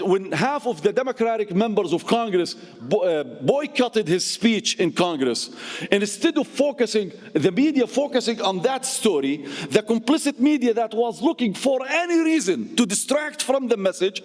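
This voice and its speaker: A man's voice: expressive, a little slow. A middle-aged man giving an impassioned speech as a community speaker and activist, emphatic and repetitive, his voice swinging up and down. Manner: passionate, emphatic, with repeated phrases and big pitch swings. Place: mosque hall. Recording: long reverb of a large hall.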